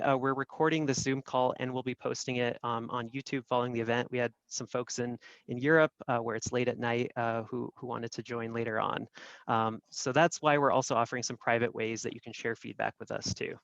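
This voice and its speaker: Male co-host, mid-pitched, casual and hesitant, close dry video-call sound. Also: fairly even intonation, brisk, a bit bright, dry recording, highs cut off. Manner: hesitant, filler-heavy delivery. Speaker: event co-host. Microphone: video call microphone.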